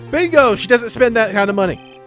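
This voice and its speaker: A man's voice: very high-pitched, lively intonation, brisk, over music, voice pushed up. A man voicing a dead young woman mentor in an amateur game dub, confident, coaxing and encouraging.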